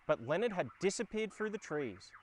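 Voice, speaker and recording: An Australian man narrating a children's storybook in a dramatic, expressive way. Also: high voice, moderate pace, rough recording. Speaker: storyteller and host. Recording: background ambience and effects noise. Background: sound effects and ambience.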